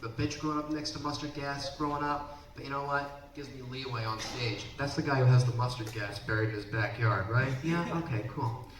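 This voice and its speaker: A man in his twenties from the Baltimore area, doing a brash, punchy stand-up set on a comedy club stage and sometimes shouting. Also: mid-range voice, brisk, hardly any pauses. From Baltimore area, Maryland. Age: 20s. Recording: roomy, distant, noisy club recording.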